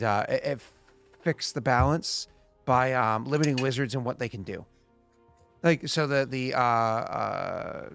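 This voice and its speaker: A man's voice: mid-range voice, fairly bright tone, no echo. A man in his late thirties, the game master, chatting and explaining rules at length with many restarts. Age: late 30s. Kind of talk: casual chat and game narration.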